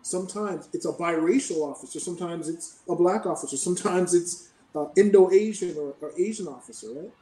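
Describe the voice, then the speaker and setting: A Canadian man answering interview questions in a measured, explanatory way, often checking in with "right?". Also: high voice, dark tone, roomy sound, recorded from a distance, low-quality recording. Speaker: podcast guest.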